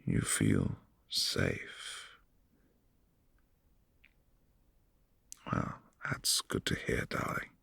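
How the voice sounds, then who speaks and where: A man voicing a brooding, lonely vampire prince in an ASMR roleplay, speaking softly and intimately in halting phrases, close to the mic. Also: very deep voice, fairly even intonation, gravelly, breathy edge, dry recording, exaggerated voice. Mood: tender. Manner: soft-spoken, intimate, halting.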